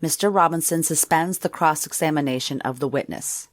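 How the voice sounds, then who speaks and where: A woman's voice: low-pitched, a little fast, dry recording, lightly acted. A woman narrating a courtroom drama in a formal, factual manner, as a scripted reading of the record. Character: formal court narrator closing the day's session.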